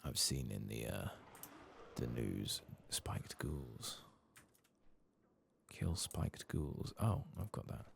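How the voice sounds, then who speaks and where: A soft-spoken British man giving low-key, rambling ASMR commentary while gaming, sometimes slipping towards a whisper, close to the microphone. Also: expressive, takes it slowly, creaky, slight background noise. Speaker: gaming streamer, ASMR host.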